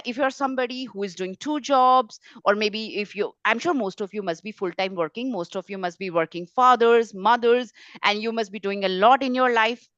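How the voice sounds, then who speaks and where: A woman's voice: medium pitch, fairly bright tone, dry recording. A woman speaking informally as a tutor in an online webinar, stressing points emphatically through repetition. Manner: emphatic, repeats words and phrases for stress. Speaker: French tutor hosting a webinar. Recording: slightly dull, lo-fi webinar audio.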